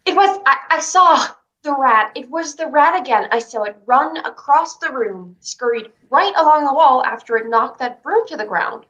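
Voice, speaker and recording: A woman's voice: high voice, expressive, moderate pace, fairly bright tone, muffled recording. A woman playing an anxious, insistent young girl in a staged play reading, earnest and protective. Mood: flustered. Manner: earnest, flustered, pressing.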